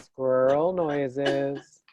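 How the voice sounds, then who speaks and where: A woman playing an opinionated squirrel sidekick in a staged reading, speaking tersely with expressive inflection. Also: a bass voice, drawn-out words, lo-fi sound, exaggerated voice. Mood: teasing. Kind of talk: staged reading, animal noises spoken as words.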